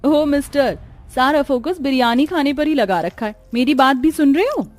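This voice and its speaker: Woman playing a high-pitched, flustered young bride. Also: animated, normal speed, soft background music. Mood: playful nagging. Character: young bride, gentle, anxious new daughter-in-law.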